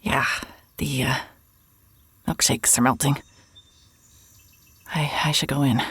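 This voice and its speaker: An actress playing a weary, guarded woman in an audio drama, speaking in a hushed, breathy, halting way. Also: extremely low pitch, fairly even intonation, no room sound, heavily acted. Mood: nervous, subdued. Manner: hesitant, subdued, halting. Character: weary, guarded, apologetic woman, quietly grieving.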